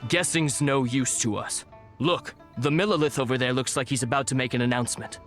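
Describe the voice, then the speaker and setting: A man's voice: medium pitch, brisk, a bit bright, soft background music, lightly acted. A man voicing a curious, matter-of-fact townsman in a video game, in a conversational way. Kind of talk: video game cutscene voice acting.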